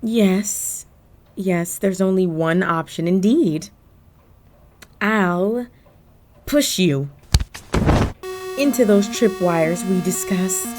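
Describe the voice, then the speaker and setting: A woman's voice: lowish voice, a little mellow, faint music behind. A woman playing a flirty, cunning cat burglar villain in a roleplay audio drama, speaking coyly and teasingly to the listener. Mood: smug. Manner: coy, teasing, drawn-out phrasing with terms of endearment.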